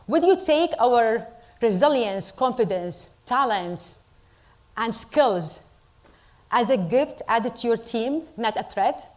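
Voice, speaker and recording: Middle-aged Iraqi-born woman, fairly high voice, earnest deliberate speech to a crowd, English. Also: expressive, darkish tone. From Iraq. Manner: earnest, deliberate, with pauses for emphasis. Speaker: storyteller at a community event. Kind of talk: speech to a live audience.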